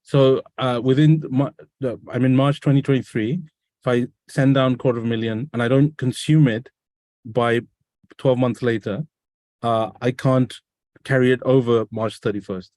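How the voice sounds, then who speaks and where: Man, webinar host, video call, dry sound, mid pitch, curious questioner. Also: fairly even intonation, normal speed, darkish tone, no echo. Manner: curious, asks follow-up questions. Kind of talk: conversational webinar interview.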